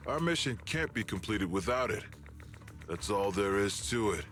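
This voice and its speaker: A man's voice: lowish voice, lively intonation, moderate pace, a bit bright, soft background music. An actor playing a cocky, callous male villain henchman in a video game, taunting and sneering.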